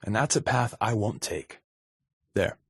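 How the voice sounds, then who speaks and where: An actor playing a wry, wisecracking undercover agent in a video game, with a sarcastic, deadpan, conversational delivery. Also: male voice, fairly low pitch, unhurried, vocal fry, slightly rough, dry recording, studio quality, full character voice. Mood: earnest, reflective. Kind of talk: video game companion dialogue.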